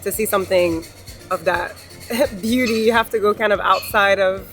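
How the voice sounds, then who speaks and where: A woman's voice: medium pitch, normal speed, a bit bright. A woman from France chatting casually as an interviewee, explaining her answers at some length. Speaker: interviewee, traveller. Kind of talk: casual chat. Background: background music.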